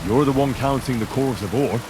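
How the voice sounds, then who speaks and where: An actor playing a young medieval man calmly and firmly questioning suspects in a video game. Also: mid-range voice, fairly quick, background noise, natural voice. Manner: calm, firm interrogating.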